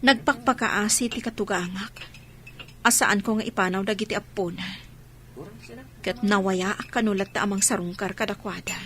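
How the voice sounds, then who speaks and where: A woman playing a heartbroken, gentle young mother in an Ilocano audio drama, speaking in a sorrowful, pleading way. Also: mid-range voice, steady tone, moderate pace, slight background noise, lightly acted. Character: heartbroken, gentle young mother leaving her marital home.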